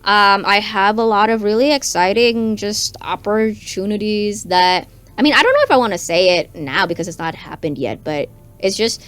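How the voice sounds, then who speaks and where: A woman's voice: medium pitch, normal speed, a bit bright, smooth. A woman who is a content creator with English as her first language, chatting casually and brashly on a podcast, swearing freely. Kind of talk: casual podcast chat mixing English and Indonesian.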